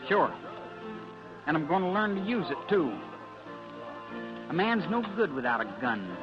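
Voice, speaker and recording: An actor playing a proud, stubborn sixteen-year-old cowboy in a radio Western, with terse, folksy frontier talk. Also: male voice, a little high, big pitch swings, unhurried. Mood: defiant. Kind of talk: 1950s radio Western acting. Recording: dull vintage radio recording with music.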